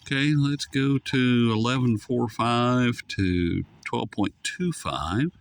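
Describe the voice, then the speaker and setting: A man's voice: medium pitch, takes it slowly. A man giving a casual, unscripted radio demonstration with folksy enthusiasm, as a hobbyist presenter. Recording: noisy, with shortwave radio static.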